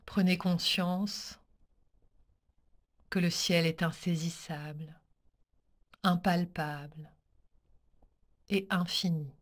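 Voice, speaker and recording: Very slow, low-voiced woman guiding relaxation. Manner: calm, soothing, measured instructions with pauses. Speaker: yoga nidra teacher guiding a practice. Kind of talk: guided relaxation meditation.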